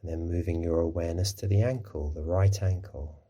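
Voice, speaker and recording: A man gently guiding a body scan meditation as a mindfulness teacher, in a soothing, unhurried manner. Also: deep voice, steady tone.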